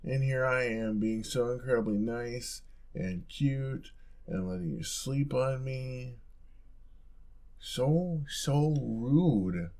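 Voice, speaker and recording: A young man playing an affectionate, playful roommate in an intimate audio roleplay, talking in a soft, casual, teasing way. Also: mid-range voice, vocal fry. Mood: mock offended.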